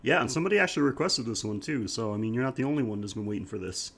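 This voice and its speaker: A man's voice: mid-range voice, expressive, rapid-fire, creaky, a bit bright, breathy edge. A man hosting a podcast in a casual, chatty style, joking and self-deprecating, speaking through a head cold. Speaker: podcast host.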